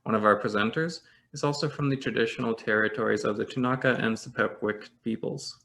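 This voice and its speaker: A 29-year-old man giving a formal, deliberate land acknowledgement and introduction. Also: medium pitch, creaky, sharp tone. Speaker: student presenter.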